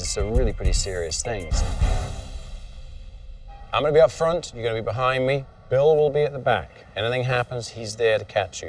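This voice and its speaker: An upbeat, direct man briefs his riders, his voice sitting a little low. He sounds a step back from the microphone, with faint music running underneath. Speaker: motorcycle tour leader. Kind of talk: briefing a riding group. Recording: distant mic, faint music behind.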